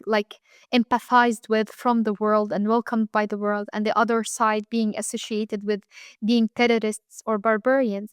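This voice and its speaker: A young woman from Lebanon speaks as an interview guest, earnest and reflective, often filling pauses with "um" and "like." Her voice sits a little high, and the recording is dry and close with no room sound. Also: smooth, no echo. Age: 20s. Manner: earnest, frequent fillers like "um" and "like".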